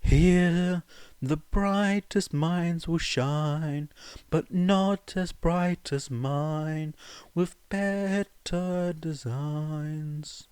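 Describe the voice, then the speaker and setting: Man performing a grandiose young revolutionary, raised pitch. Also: darkish tone, clean tone, no echo. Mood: defiant singing. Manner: grandiose, declamatory, drawn-out delivery. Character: defiant young male revolutionary leader, singing his speeches theatrically. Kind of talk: dramatic fan fiction audiobook performance.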